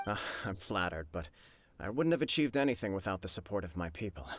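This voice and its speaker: A man's voice: low-pitched, animated, fast, no room sound. A man playing an earnest, humble, dutiful young military clan leader in a video game, speaking formally and a little stiffly. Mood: modest.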